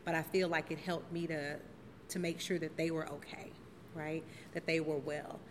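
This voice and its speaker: A woman in her forties from St. Louis, Missouri, recalling her life in an oral history interview in a steady, reflective way with frequent ums. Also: low-pitched, normal speed, long reverb tail, a little hiss or hum. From St. Louis, Missouri, USA. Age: 40s. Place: theater venue.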